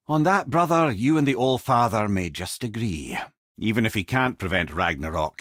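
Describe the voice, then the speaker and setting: A learned old Scottish storyteller for a video game. His pitch swings widely as he moves through wry, wordy explanations and tales. The voice sits at a medium male pitch and is recorded very dry and close, with no room sound. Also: no echo. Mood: wry, teasing. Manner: wry, animated, erudite storytelling.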